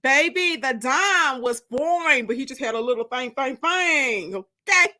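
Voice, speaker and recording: High, bright, theatrical woman storyteller. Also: a little slow. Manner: expressive, theatrical. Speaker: author and host of live story time. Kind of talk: casual livestream chat and dramatic book narration.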